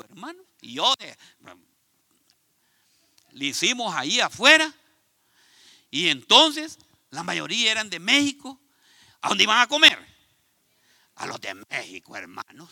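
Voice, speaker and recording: Male pastor, Ecuadorian accent, high voice, very bright and forceful, dry close sound, lively preaching. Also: no echo. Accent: Ecuadorian Spanish. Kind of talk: sermon. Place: church.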